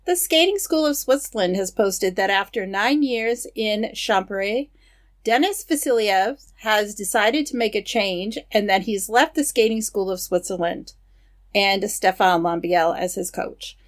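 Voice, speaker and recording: A woman co-hosting a podcast, reading news items in a steady, matter-of-fact way with casual asides. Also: medium pitch, measured pace. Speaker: podcast co-host.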